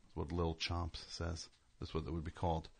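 Low-voiced man in casual comedic chat. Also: monotone, normal speed, creaky. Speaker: talk show host.

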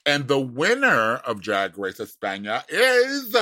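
Male podcast co-host, animated bright voice, endearment-heavy hype. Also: medium pitch, slow, no room sound, studio quality. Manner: warm-hearted hype, calls people "baby" and "girl". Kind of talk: casual podcast chat.

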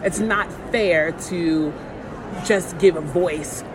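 A woman speaks as an interview guest in a conversational register. She builds her points in measured steps. Clear background noise from the location runs under her voice. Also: lowish voice. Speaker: author being interviewed. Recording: noisy on-location recording, ambient background sound.

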